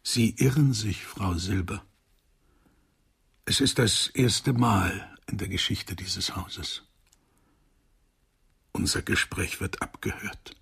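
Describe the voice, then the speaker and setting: An actor playing a stern male psychoanalyst in a German radio crime drama, speaking in a measured, formal, accusing manner. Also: deep voice, gravelly, fairly bright tone, slightly rough, heavily acted. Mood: grave, quiet. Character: stern male psychoanalyst coldly confronting a murderer.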